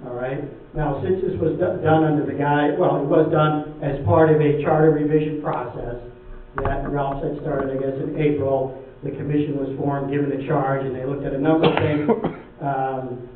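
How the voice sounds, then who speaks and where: A man's voice: a little high, steady tone, moderate pace, a little hiss or hum. A middle-aged or older man, a town attorney, explaining matter-of-factly at a public meeting in a large indoor room. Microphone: room pickup, later handheld microphone. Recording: echoey meeting hall, distant dull sound.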